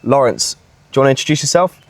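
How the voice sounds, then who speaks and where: Fast-talking host, dry close sound. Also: male voice, medium pitch, very animated, vocal fry, dry recording. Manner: upbeat, quick encouraging prompts. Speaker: host and sales mentor. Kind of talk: casual interview questions. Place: villa, indoors.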